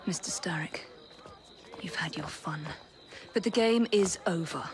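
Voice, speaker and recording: A woman's voice: on the low side, measured pace. An actress playing a composed, dry-witted young Victorian woman spy with an English accent in a video game. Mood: polite, firm. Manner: dry, poised, formal. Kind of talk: video game cutscene acting.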